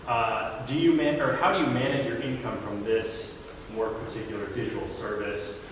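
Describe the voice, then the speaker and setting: A man moderating a library panel, asking questions in a halting way with many uhs. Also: medium pitch, steady tone. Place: library event room. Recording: echoey hall, mic far off, noisy.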